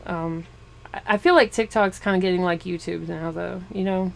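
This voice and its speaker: A woman's voice: on the low side, moderate pace, creaky, rough recording. A woman in her forties interviewing a young rapper in a casual, chatty way, laughing often and trailing off with fillers. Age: 40s. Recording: faint background noise.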